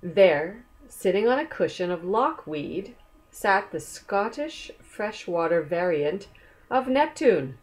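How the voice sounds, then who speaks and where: A woman reading a children's book aloud as a storyteller, gently inviting young listeners along with questions. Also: medium pitch, big pitch swings, slow, low-quality recording.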